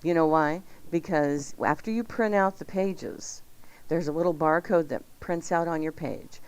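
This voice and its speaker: A woman hosting a radio cooking show with lively banter and promotional patter. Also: low-pitched, a little slow, clean tone.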